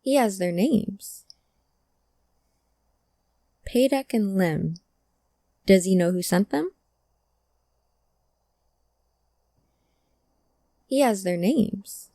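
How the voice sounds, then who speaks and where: A woman acting an earnest, emotional hacker searching for a lost friend in a cyberpunk audio drama, with halting delivery. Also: mid-range voice, expressive, dark tone, no room sound. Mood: wary. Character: distressed, determined hacker woman seeking her lost friend.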